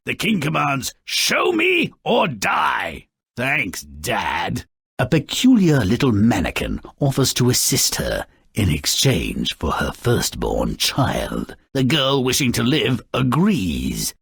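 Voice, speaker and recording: An actor playing a small, malicious, sardonic storybook grouch in a video game, raspy and gravelly, narrating dark fairy tales with theatrical, sneering mockery. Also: male voice, mid-range voice, wildly swooping pitch, slow pace, no room sound, studio quality, heavily acted. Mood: sardonic storytelling. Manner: theatrical, sneering, mocking, ornate vocabulary.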